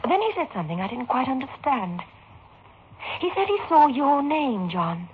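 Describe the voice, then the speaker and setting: An actress playing a frightened, accusing wife in melodramatic, emphatic 1960s radio horror style. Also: female voice, sits high, sing-song, moderate pace, breathy edge, heavily acted. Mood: puzzled, wondering. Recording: muffled, noisy old radio recording.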